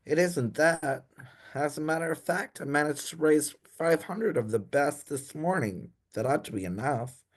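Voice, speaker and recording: An actor playing an anxious, dim young gentleman in a comic period radio play, dithering and apologetic in posh old-fashioned slang. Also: a little high, lively intonation, moderate pace. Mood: sheepish. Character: anxious, dim young upper-class Edwardian gentleman in trouble.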